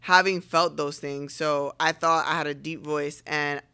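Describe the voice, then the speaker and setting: A young woman speaking casually and assertively as a podcast guest, choosing her words deliberately. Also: deep voice, steady tone, pushed and bright, clear, resonant tone. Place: living room couch, indoors. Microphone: podcast microphone on boom arm.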